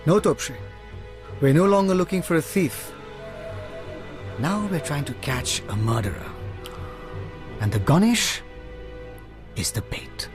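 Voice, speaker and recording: An actor playing a shrewd, commanding detective in a radio drama, speaking in a husky, deliberate, dramatic way. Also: male voice, a little high, expressive, over music. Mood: grave, determined.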